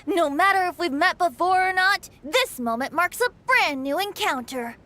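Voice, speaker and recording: A woman voicing a carefree young male bard in a video game, airy and flowery in speech, quick to giggle. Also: extremely high pitch, animated, sharp tone, heavily acted. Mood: playful.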